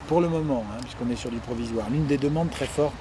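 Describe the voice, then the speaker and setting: A man with a mid-pitched voice explains a project to visitors in an informal way. He pauses often to find his words. Urban noise and vehicles are clearly heard around him. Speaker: local official presenting a building project. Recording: noisy outdoor urban ambience, vehicles.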